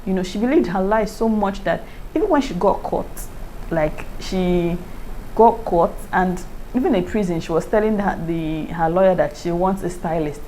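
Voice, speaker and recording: A woman with a Nigerian accent, chatting casually to her viewers in a lively way and often breaking into laughter. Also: on the low side, normal speed, a little hiss or hum, poor audio. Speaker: YouTuber. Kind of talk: casual review chat to camera.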